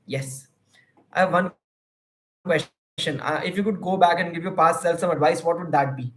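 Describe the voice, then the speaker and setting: A man's voice: sits high, fairly bright tone. A young Indian man with an Indian English accent, a YouTuber and counselor answering viewer questions on a livestream in an earnest, advice-giving way, with many fillers and restarts.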